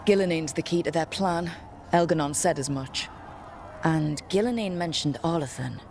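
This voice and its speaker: A woman's voice: sits low, moderate pace, vocal fry, a little mellow, slight background noise. A woman playing a determined fantasy team leader in a video game, matter-of-fact and decisive. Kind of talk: video game cutscene acting.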